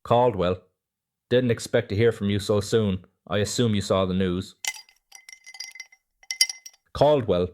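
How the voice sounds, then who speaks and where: A man's voice: on the low side, takes it slowly, long pauses, noisy background. A man playing a smug, wealthy, corrupt real estate mogul in a noir audio drama.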